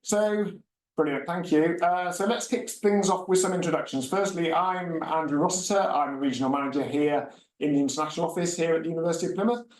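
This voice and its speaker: Man, webinar host, slightly high voice, roomy echo, mic set back, chatty with fillers. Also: quick pace, darkish tone. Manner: friendly, chatty, many filler uhs. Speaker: host, university regional manager. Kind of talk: hosting a webinar panel. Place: university room with a panel. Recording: echoey room, mic at a distance.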